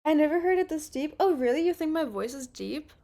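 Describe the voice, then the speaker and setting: A woman's voice: high-pitched, expressive, a little fast, warm tone. A woman in her early twenties chatting casually with her stream while doing her makeup, rambling with lots of filler words. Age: 20s. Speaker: livestreamer.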